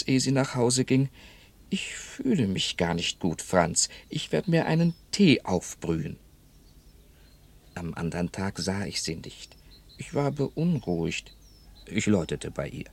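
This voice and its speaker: An actor playing a talkative, gossipy elderly man in a radio drama, chatty and theatrical, quoting others vividly. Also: lowish voice. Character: talkative elderly Frenchman, gossipy, fond of late neighbour.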